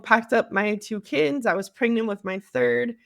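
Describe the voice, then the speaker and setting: A woman's voice: on the high side, measured pace, gravelly, fairly bright tone, dry recording, clean studio sound. An American woman telling her own story as an interview guest, candid and conversational, often acting out what people said to her. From Cleveland area, United States. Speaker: podcast guest, marketing agency CEO.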